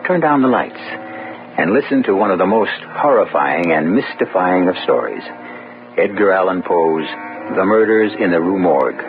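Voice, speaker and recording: A man acting as a genial but ominous storyteller, deliberate and theatrical, introducing a horror tale on old-time radio. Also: low voice, expressive. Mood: ominous, inviting. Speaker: radio drama host and narrator. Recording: noisy, narrow-band old radio recording.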